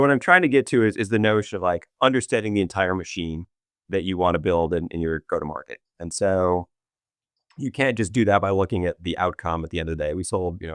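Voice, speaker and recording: A man being interviewed as a business executive, explaining his views in an animated way with many restarts. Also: low-pitched, smooth, no echo, clean studio sound. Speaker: guest, revenue operations executive. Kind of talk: casual interview chat.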